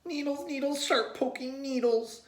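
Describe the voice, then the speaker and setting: A man voicing a dramatic, whiny little boy who yells his disgust in repeated words as part of a storybook. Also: very high voice, normal speed, echoey room, pitched above the performer's own voice. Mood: disgusted yelling.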